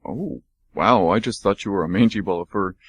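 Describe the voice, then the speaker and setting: A man's voice: on the low side, fairly quick, slightly rough, dry recording. A man voicing a dim, friendly dog in a radio play, talking in a stammering, good-natured way in a dry studio. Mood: dopey.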